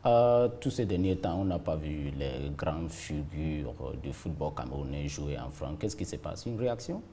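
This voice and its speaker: A man interviewing a guest, asking formal questions in a halting way with fillers between phrases. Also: a bass voice, lively intonation, warm tone, slight background noise.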